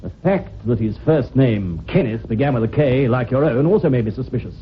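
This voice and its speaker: An actor playing a shrewd, unhurried police inspector, measured and wry, in an old-time radio drama. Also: male voice, mid-range voice, expressive, natural voice. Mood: confident. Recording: dull, hissy old radio recording.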